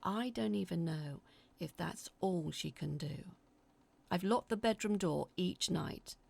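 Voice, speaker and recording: A woman acting as an anxious narrator, telling a scripted first-person horror story in a confiding, uneasy, dramatic way with a husky, creaky voice. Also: lowish voice, slow, a little mellow, exaggerated voice. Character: anxious woman reading out her frightened letters about sinister events.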